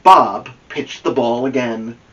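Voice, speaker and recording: A man hosting a children's story podcast, reading a picture book aloud in an expressive, animated way. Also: medium pitch, slow, muffled recording. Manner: expressive, addresses a young co-host with questions. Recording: slightly distant from the microphone.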